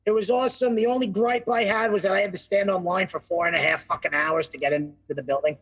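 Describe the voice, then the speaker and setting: Raspy young man on a phone mic. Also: high voice, fairly even intonation, quick pace, dull audio. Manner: loud, joking, cursing freely. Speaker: podcast co-host. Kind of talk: casual chat. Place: home room on video call. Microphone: phone mic, no headset.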